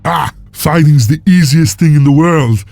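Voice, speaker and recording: A man's voice: fairly high pitch, a little slow, gravelly, a little mellow, dry recording, faint music behind. An actor playing a hungry, impatient slave miner in a fantasy game, whining and complaining to his comrade. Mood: restless. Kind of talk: dramatic fantasy game dialogue.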